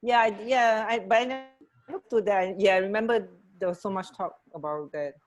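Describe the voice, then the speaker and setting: A woman's voice: mid-range voice, a little fast. A middle-aged woman co-hosting a radio show in casual, giggly chat over a video call from home. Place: at home, indoor room. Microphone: wired earphones on a video call.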